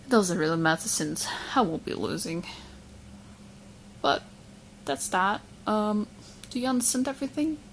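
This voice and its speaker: A woman's voice: medium pitch, lively intonation, normal speed, long pauses, a little hiss or hum, close to an everyday voice, low-quality recording. A woman playing an obsessive, smug young witch in love, chatting coyly to the listener in a roleplay audio drama. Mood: smug, possessive. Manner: coy, smug, chatty, hesitant asides.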